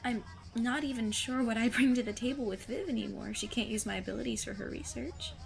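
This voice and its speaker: Earnest teenage girl played by a woman, creaky voice. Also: fairly high pitch, fairly quick. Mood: self-doubting. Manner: formal, precise, earnest phrasing. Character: plucky, blunt, earnest 15-year-old girl sleuth. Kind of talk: audio drama acting.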